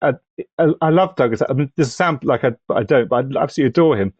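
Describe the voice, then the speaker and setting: A man's voice: medium pitch, fast talker, slightly rough, no room sound, muffled recording. A man talking casually and analytically as a podcast panellist, thoughtful and a little hesitant.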